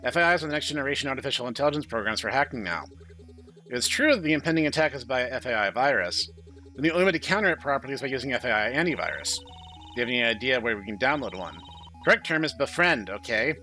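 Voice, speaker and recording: Bright, animated man reading game dialogue. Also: mid-range voice, fast talker, faint music behind. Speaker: gaming channel host. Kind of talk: reading visual novel dialogue aloud, playthrough commentary.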